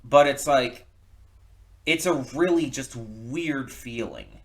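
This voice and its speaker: A man giving casual, unscripted reaction commentary, thinking aloud in a reflective, halting way and often repeating words. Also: mid-range voice. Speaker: reaction video host. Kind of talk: casual unscripted commentary, post-viewing thoughts.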